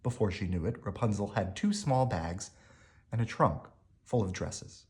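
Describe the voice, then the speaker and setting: A man reading a children's bedtime story aloud in an animated, emphatic way. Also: fairly low pitch, moderate pace. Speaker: storybook reader.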